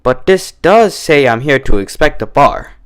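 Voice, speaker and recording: A man's voice: a little high, lively intonation, unhurried, a bit bright, clean tone, pitched above the performer's own voice. A man voicing a polite, businesslike male pony inspector in a cartoon story, courteous and formal. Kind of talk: cartoon fan fiction character reading.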